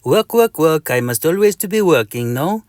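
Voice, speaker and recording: A man's voice: a little high, expressive, moderate pace, darkish tone, no echo, studio quality, voice pushed up, natural voice. A man voicing a busy, suave male mosquito with a put-on French accent. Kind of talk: storybook character voice.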